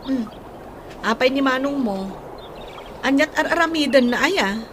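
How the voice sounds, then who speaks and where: Radio drama role: assertive young wife, played by a woman, high-pitched, slight background noise. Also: animated, unhurried, fairly bright tone. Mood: annoyed. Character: assertive young daughter-in-law defending her own ways. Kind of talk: Ilocano radio drama acting.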